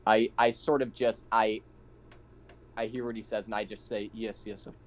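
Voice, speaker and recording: A man's voice: lowish voice, little pitch movement, moderate pace. A man playing a tabletop roleplaying game, chatting casually and thinking aloud hesitantly. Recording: noticeable background noise.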